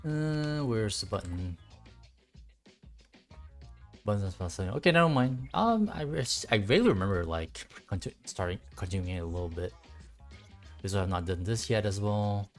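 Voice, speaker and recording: Low-voiced man rambling over game music. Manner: rambling, thinking aloud. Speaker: game streamer. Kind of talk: casual livestream gameplay commentary. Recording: music behind the voice.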